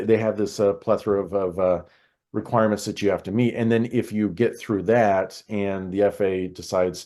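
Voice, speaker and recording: An American man explaining things conversationally as an expert interview guest, in a halting way with frequent pauses and repetitions. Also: fairly low pitch, warm tone. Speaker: podcast guest, air traffic control expert.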